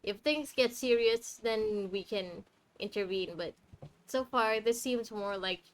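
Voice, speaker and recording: A woman's voice: on the high side, unhurried, rough recording. A woman giving casual live gameplay commentary, chatty and playful as she reacts aloud to the game. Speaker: game streamer. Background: game music.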